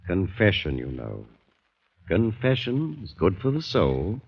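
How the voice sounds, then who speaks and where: An actor playing an urbane, probing gentleman doctor in an old radio drama, speaking with deliberate, insinuating politeness. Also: sits low, huge pitch swings, creaky, husky, exaggerated voice. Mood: coaxing. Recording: dull, lo-fi old radio recording.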